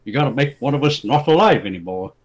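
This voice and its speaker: A man's voice: mid-range voice, animated, fast talker, close to an everyday voice. A man voicing crude, belligerent hooligans in a comic story, hurling insults in mangled slang. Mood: demanding. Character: two brawling loutish hooligans, crude and belligerent.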